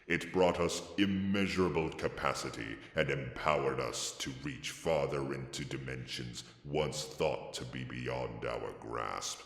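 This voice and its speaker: An actor playing a solemn ancient warrior chronicler, narrating grand game lore in a slow, ominous manner. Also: male voice, rumbling low, a bit bright, full character voice. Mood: solemn, grave. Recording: cavernous echo with long reverb.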